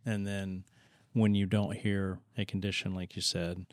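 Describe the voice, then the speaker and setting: A man co-hosting a podcast interview, speaking in a measured, reflective way with many fillers. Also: low voice, little pitch movement, no echo, clean studio sound. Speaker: podcast co-host. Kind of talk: conversational interview.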